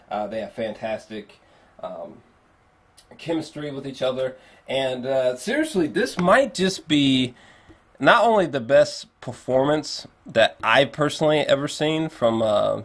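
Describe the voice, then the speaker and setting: Male film reviewer, mid-pitched, off the cuff and foul-mouthed, emphatic, meandering delivery for a casual video review. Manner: rambling, profanity-laced, emphatic.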